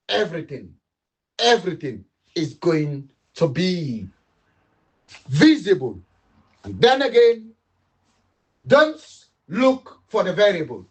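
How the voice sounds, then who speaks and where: A man's voice: high voice, low-quality recording. A middle-aged man giving an impassioned political appeal, emphatic and repetitive, switching between English and Dutch. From Sierra Leone. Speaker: political commentator.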